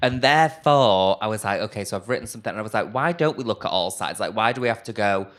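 Man, low voice, camp, joking, stand-up comedian, radio interview guest. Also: expressive, a bit bright. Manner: camp, quick-witted, self-mocking, full of jokes.

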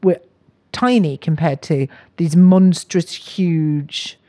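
A middle-aged woman with a slightly low voice, chatting casually as a comedy guest. She is animated and playful, often poking fun at herself. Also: slow. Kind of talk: casual comic interview chat.

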